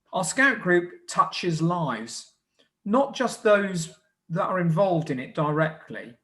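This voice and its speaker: Measured man hosting a community presentation. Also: a little high. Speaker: scout group leader hosting a live presentation. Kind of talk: semi-scripted event presenting.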